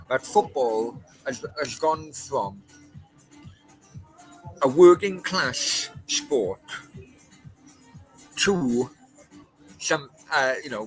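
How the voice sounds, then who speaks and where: A man's voice: fairly high pitch, a bit bright, poor audio. A man in his forties hosting a late-night chat, ranting bluntly and with lots of swearing. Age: 40s. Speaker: podcast host.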